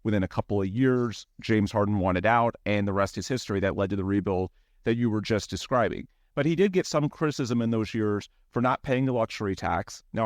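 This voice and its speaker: An American man hosting a sports podcast, laying out analysis in a measured, methodical, explanatory way. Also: lowish voice, no echo, studio quality.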